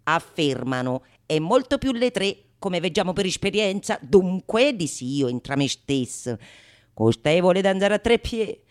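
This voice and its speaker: A woman's voice: fairly low pitch, very animated, moderate pace, voice pushed down. A woman playing a bawdy, boastful man who theatrically recounts his seductions in a radio theatre monologue. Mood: sly confiding. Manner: theatrical, boastful, mock-tender when quoting himself.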